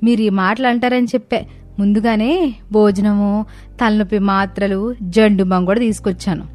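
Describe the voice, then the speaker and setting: Young daughter-in-law female actor, playful and coaxing, expressive cartoon storytelling, light music underneath. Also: medium pitch, normal speed, lightly acted. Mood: playful persuading. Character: lively teasing young daughter-in-law, fond of fun. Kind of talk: animated moral-story dialogue.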